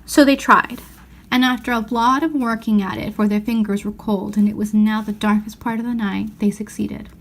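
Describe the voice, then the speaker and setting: A woman reading a children's novel aloud as an expressive storyteller. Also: medium pitch, normal speed. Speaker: storybook reader.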